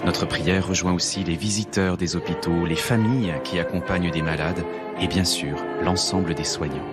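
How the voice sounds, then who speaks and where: Broadcast presenter; deep formal voice over loud music. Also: male voice, fairly even intonation. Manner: formal and measured. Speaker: TV mass presenter. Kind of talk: scripted broadcast introduction.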